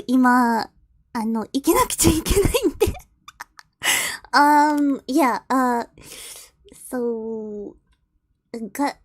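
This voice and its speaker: Brief: young woman, slightly high voice, slow and giggly, dry close sound. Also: no echo. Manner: giggly, flustered, laughs often. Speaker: streamer reading fanfiction. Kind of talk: casual stream chat and read-aloud.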